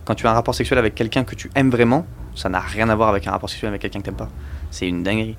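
Young male guest, low register, slangy, rambly. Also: fairly even intonation, racing, slight background noise. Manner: rambling, slangy, full of fillers and restarts. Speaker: musician guest. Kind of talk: casual interview chat.

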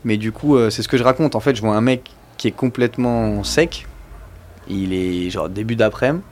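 A young man with a lowish voice chats loosely about his songs in an interview. He keeps restarting and fills gaps with slang. A faint hum of room ambience sits under him. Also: moderate pace. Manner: rambling, slangy, full of fillers and restarts. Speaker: musician guest. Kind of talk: casual interview chat.

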